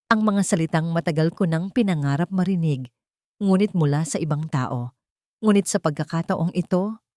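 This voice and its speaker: A woman's voice: on the low side, darkish tone, dry recording, clean studio sound. A woman reading a first-person story as scripted audiobook narration, in an earnest, dramatic storytelling manner.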